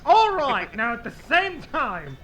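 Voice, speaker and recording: A man playing an excitable, theatrical gamer in a comedy skit, switching between bombastic announcing and offhand asides. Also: extremely high pitch, slow pace, noisy background, full character voice. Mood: bombastic, excited. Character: excitable, theatrical male gamer recording his show intro. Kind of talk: comedic animated audio skit.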